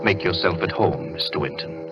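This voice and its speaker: A man playing a sinister asylum doctor, polite and deliberate with faint menace, in old-time radio thriller style. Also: very deep voice, loud music behind the voice. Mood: quietly menacing. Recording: muffled vintage radio recording.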